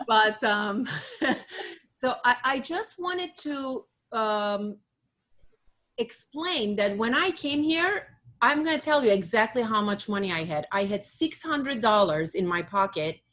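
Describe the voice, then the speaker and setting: Woman, Turkish accent, fairly high voice, chatty storytelling, halting restarts, office studio. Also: lively intonation, fairly bright tone. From Turkey. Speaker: podcast co-host, beauty academy owner. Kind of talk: casual chat.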